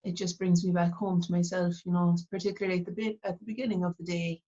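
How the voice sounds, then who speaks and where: A middle-aged woman with an Irish accent from Cork, talking reflectively in an interview and pausing often with fillers and restarts. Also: lowish voice, little pitch movement, mellow, muffled recording. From Ireland. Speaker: artist, interview guest. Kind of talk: casual interview talk.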